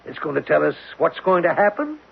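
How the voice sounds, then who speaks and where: An American actor in a 1970s radio drama, playing a blustering, sarcastic, exasperated oil drilling boss with a gravelly, rough-edged voice. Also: male voice, fairly high pitch, sing-song, fairly quick, heavily acted. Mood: sarcastic, incredulous. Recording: tinny narrowband 1970s radio recording.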